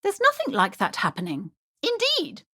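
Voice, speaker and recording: A high-pitched, wildly swooping middle-aged shopkeeper mother from a video game. She is haughty, pushy and high-strung, sliding theatrically between sugary sweetness and imperious scolding. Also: wildly swooping pitch, moderate pace, bright, forceful tone, full character voice. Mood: scolding, offended.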